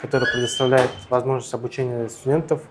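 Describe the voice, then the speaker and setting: A man's voice: medium pitch, level tone, quick pace. Man, a hesitant formal academic presenter. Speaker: university deputy dean.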